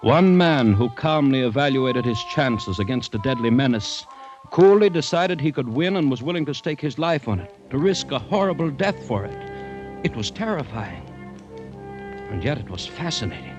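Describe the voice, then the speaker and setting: An actor playing a grave, fascinated district commissioner, narrating with deliberate, brooding drama in an old-time radio play. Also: male voice, mid-range voice, expressive, lightly acted. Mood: brooding, awed. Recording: dull vintage radio recording with music.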